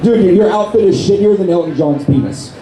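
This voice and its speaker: A man with a hoarse, worn voice, delivering brash, profane insult jokes at a live roast on a convention stage with a handheld mic. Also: high-pitched, animated, a little fast. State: hoarse, lost his voice. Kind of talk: live roast comedy set. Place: convention stage before a crowd. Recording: echoey hall, loud crowd noise.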